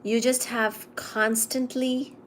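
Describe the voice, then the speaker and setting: A young woman from India hosts a casual livestream, her voice sitting slightly high. She reads viewer comments aloud and teases the chat in an easygoing way. Her energy is low today. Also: little pitch movement, slow, slight background noise. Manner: chatty, teasing, reads chat aloud and answers it. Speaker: livestream host.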